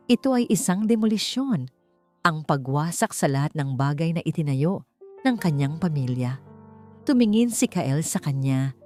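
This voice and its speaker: Audiobook narrator: woman, measured and dramatic, slightly low voice. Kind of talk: scripted story narration. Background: soft background music.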